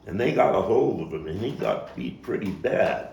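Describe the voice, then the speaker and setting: A man performing a theatrical monologue as a coarse, wry, streetwise Brooklyn man looking back on his boyhood gang, telling it with dramatic pauses and emphatic swells. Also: deep voice, big pitch swings, vocal fry, a little mellow, full character voice. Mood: earnest, gritty. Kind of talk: theatrical monologue, table read. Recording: roomy, distant mic, faint noise.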